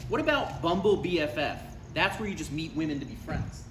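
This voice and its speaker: A man's voice: on the high side, sing-song, brisk. A man in his thirties playing an anxious, needy, defensive adult son in a live comedy table read, awkward and sarcastic. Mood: anxious, curious. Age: 30s. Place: live reading in a room. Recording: roomy live recording with clear background noise.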